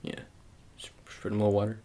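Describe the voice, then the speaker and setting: A man doing soft-spoken ASMR roleplay as a shady sports agent, talking in a halting, casual, coaxing way and repeating his phrases. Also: fairly low pitch, completely flat delivery, creaky, slight background noise.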